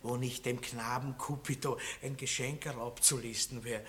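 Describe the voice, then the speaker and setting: Ein Schauspieler als prahlerischer, lüsterner Landbaron mit österreichischem Akzent. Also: male voice, medium pitch, fairly even intonation, normal speed, a bit bright, a little hiss or hum, natural voice. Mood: bragging. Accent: Austrian. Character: boorish lecherous middle-aged country baron. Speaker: radio play actor. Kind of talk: 1960s radio drama acting.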